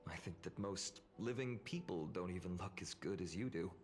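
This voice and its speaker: A male actor voicing an expressive young man in a fantasy video game. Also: low voice, moderate pace, faint music behind, close to an everyday voice. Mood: teasing, friendly.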